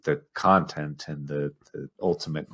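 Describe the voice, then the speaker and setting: A man's voice: a bass voice, fairly even intonation, unhurried, fairly bright tone. A man co-hosting a podcast, talking casually and offering opinions on films. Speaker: podcast co-host. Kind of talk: casual film discussion.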